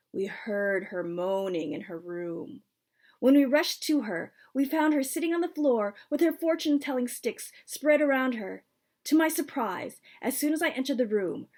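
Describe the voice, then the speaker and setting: Female storybook reader, husky and creaky, expressive delivery with soft phrase endings. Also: on the high side. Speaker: author reading own book. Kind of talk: storybook read-aloud.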